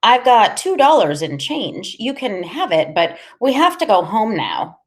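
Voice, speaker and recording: Woman playing a nervous polite boy, pitched up. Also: sing-song, measured pace. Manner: formal, hesitant, polite. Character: small brainy boy, nervous and formally polite.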